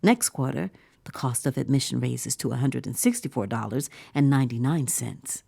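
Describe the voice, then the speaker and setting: An awed visitor to a bleak future theme park in an audio drama. Her voice is low and dark, with heavy creak. She narrates with breathy wonder and wry understatement, often dropping toward a whisper. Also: moderate pace, heavily acted. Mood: giddy, wry. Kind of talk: dramatic first-person audio fiction narration.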